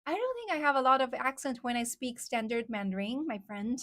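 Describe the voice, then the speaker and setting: A woman's voice: sits high, expressive, a little fast, a bit bright. Chatty Chinese-accented woman livestreamer. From China. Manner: chatty, many "like" fillers, reads out comments. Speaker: live streamer. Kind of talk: casual livestream chat answering viewers.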